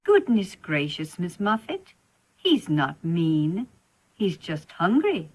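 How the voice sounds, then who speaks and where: A reassuring nursemaid from a children's storybook play, acted by a woman, gently instructing and indulgent. The voice is slightly husky and moves expressively up and down at an easy pace. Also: fairly low pitch, very animated, takes it slowly, exaggerated voice. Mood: concerned. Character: kindly, reassuring nursemaid of a little girl.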